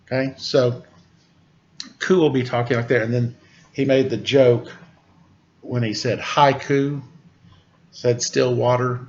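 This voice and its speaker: A man's voice: medium pitch, flat delivery, takes it slowly, long pauses, dull audio, a little hiss or hum. A man reading a story aloud as a storyteller, with informal, explanatory asides to his listeners. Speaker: storyteller reading a picture book, teacher.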